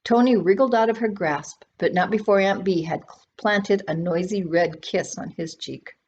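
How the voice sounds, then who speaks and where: A woman's voice: medium pitch, a little mellow, slightly rough. A woman reading a children's novel aloud expressively, as its author. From Canada. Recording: dull sound with faint background noise.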